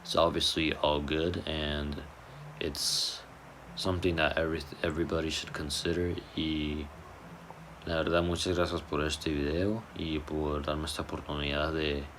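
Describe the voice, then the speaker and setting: A man's voice: rumbling low, little pitch movement, moderate pace, a bit bright, a little hiss or hum. A young man giving a casual personal statement, loose and informal, with restarts and fillers. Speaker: student speaker.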